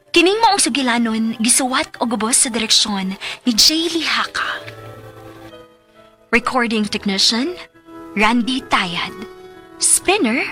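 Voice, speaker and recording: A woman narrating the close of a radio drama solemnly and reading its credits. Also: a little high. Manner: slow, solemn, reflective. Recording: radio studio with soft music.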